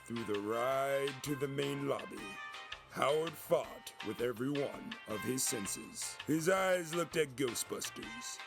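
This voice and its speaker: A man's voice: mid-range voice, expressive, takes it slowly, gravelly, music in the background. A man reading scripted dramatic narration as a storyteller.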